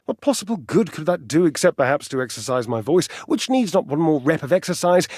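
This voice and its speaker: Vain theatrical gym diva man for a video game, kinda high voice, all over the place, super dramatic and teasing. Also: breathy edge, no echo, studio quality, full character voice. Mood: delighted, self-satisfied. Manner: grandiloquent, melodramatic, effusive with pet names. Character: flamboyant, vain, theatrical male fitness enthusiast, affectionately teasing.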